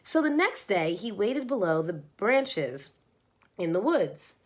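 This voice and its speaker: A woman reading a children's storybook aloud as narrator, in an expressive storytelling manner. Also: mid-range voice, takes it slowly. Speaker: storybook reader.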